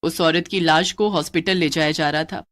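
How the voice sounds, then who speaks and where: A woman telling a cartoon horror story as narrator, in lively scripted narration. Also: low voice, normal speed.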